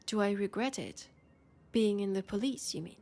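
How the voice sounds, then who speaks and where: A woman's voice: medium pitch, normal speed, dark tone, close to an everyday voice. A woman with a French accent playing a worried, disillusioned policewoman in an intimate sci-fi roleplay, confiding in a hesitant way. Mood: resigned. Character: worried, disillusioned young policewoman in a dystopian city. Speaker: roleplay audio performer.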